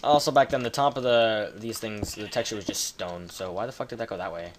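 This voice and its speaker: Man, Canadian accent, deep-ish voice, rambling let's-play chatter, casual swearing. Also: fairly quick, a little hiss or hum. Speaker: gaming video creator. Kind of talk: casual gameplay commentary.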